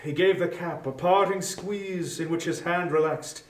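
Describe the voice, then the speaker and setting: A man delivers measured, formal storybook narration. His voice sits slightly high, and it sounds a little distant from the microphone with an echoing room around it. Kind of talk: scripted dramatic storybook narration. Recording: roomy echo, distant microphone.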